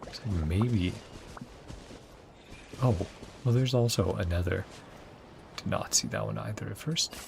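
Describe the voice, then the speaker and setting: A man's voice: lowish voice, a little slow, gravelly, pauses often, background noise. A man giving casual gameplay commentary, muttering and thinking aloud to himself as he plays. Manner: mutters to self, sings syllables, thinks aloud. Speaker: streamer reacting to a game. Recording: game music behind voice. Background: game music.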